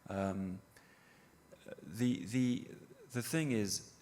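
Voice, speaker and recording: Middle-aged French-accented man, lowish voice, hesitant conference talk in a reverberant hall. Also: warm tone. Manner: halting, many fillers and restarts. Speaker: panel speaker. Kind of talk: conference presentation. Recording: reverberant hall with faint noise.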